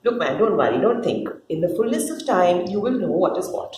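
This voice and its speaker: Woman playing female God, doting creator, chatty, instructing, lively pitch, echoey room, dramatic stage reading. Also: fairly quick, natural voice. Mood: indulgent. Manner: casual, instructing, fussing with interjections. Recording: roomy, distant mic, faint noise.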